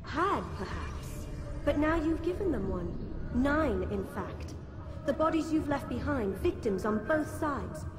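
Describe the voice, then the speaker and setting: A woman acting a scornful, defiant decoy in a video game cutscene, speaking in a taunting, deliberate way. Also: high voice, very animated. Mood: bitter, mocking. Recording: echoey reverberant space, music behind.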